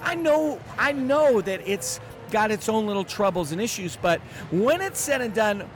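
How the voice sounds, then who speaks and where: A man in his forties, a guest on a casual podcast interview, talking in an animated and emphatic way with self-deprecating humor. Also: high voice, moderate pace. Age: 40s. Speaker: guest speaker. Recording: outdoor noise in background.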